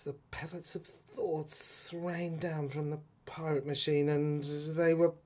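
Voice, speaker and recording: A man narrating a serial audio drama in a poetic, brooding style, with halting phrases and pauses. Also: fairly high pitch, little pitch movement, a little mellow.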